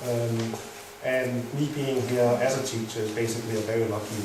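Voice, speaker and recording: A young Danish man, a native Danish speaker, answering audience questions in English with many pauses and restarts. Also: mid-range voice, steady tone. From Denmark. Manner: hesitant, many fillers and restarts. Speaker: guest speaker. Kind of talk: live Q&A answers. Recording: echoey hall, mic far off, noisy.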